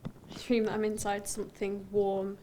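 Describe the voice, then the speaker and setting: A woman playing a haunted, introspective character who recounts a dream in deliberate, broken phrases, as a poetic dramatic monologue. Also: medium pitch, completely flat delivery, warm tone, slight background noise, natural voice. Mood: dreamy, calm.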